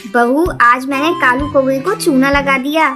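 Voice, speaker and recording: Woman voicing a high-pitched, theatrical greedy mother-in-law sparrow. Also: normal speed, close to an everyday voice. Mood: sly. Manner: whining, theatrical, scolding. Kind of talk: children's cartoon story dialogue. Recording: music behind the voice.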